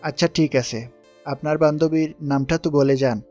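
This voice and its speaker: A man's voice: fairly high pitch, steady tone, normal speed, a little mellow, soft background music, close to an everyday voice. Man playing a self-assured schoolboy charmer. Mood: polite, persuasive. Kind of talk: audio story dramatization.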